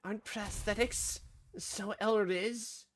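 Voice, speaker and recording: A streamer doing a rough impression of a teasing young female yandere game character, coaxing in a slightly raised voice, a little off-mic. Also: mid-range voice, fairly even intonation, slow, voice pushed up, close to an everyday voice. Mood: sly coaxing.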